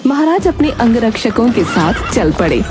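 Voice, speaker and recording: A woman narrating a children's cartoon story in an even storytelling manner. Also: fairly high pitch, normal speed, music in the background.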